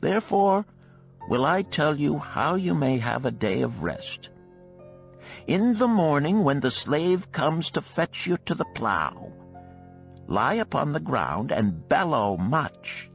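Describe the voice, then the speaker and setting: A man's voice: mid-range voice, expressive, takes it slowly, vocal fry, faint music behind. A man voicing a simple-hearted donkey in a storybook fable, with an emphatic, advising manner. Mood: helpful. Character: kindly but exasperated donkey, male, simple-hearted.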